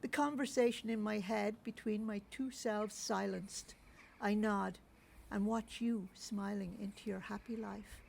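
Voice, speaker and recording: A woman's voice: medium pitch, fairly even intonation, unhurried, vocal fry, darkish tone, a little hiss or hum. A woman reading literary verse aloud.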